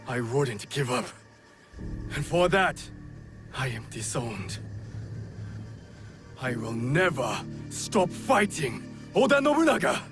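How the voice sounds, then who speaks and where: An actor playing a proud, bitter, disowned rebel warrior in a video game drama, brooding and theatrical. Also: male voice, medium pitch, sing-song, slow, long pauses, music in the background. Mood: defiant.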